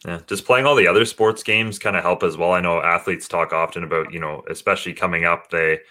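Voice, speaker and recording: A man's voice: low-pitched, steady tone, fast, sharp tone. A man hosting a casual sports interview, introducing and questioning the guest. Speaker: show host, interviewer.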